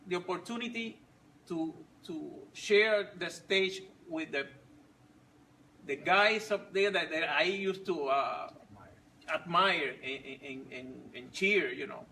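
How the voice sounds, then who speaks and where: A man in his forties, not a native English speaker, telling his story slowly with many pauses and restarts. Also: on the high side, pushed and bright, recorded from a distance, a little hiss or hum, low-quality recording. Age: 40s. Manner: halting, many fillers and restarts. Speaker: fit club member sharing his story. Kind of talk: casual personal story.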